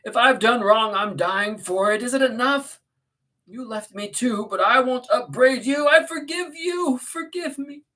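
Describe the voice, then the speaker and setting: A man's voice: very high-pitched, sing-song, unhurried, hardly any pauses, pitched above the performer's own voice, full character voice. A man voicing a passionate, hysterical dying young woman from a classic novel, pleading and crying out. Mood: sobbing anguish. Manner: sobbing, shrieking, pleading. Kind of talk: dramatic audiobook character reading.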